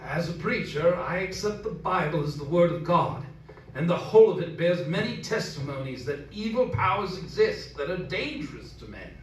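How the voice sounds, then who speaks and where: High-pitched, formal preacher played by a man, earnest and grave, old-style radio horror, roomy and distant. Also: expressive, slight background noise, natural voice. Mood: solemn warning. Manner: formal, solemn, measured wording. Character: grave, superstition-wary English village preacher, formal and earnest. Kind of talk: radio horror drama acting. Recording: roomy, distant, loud music underneath.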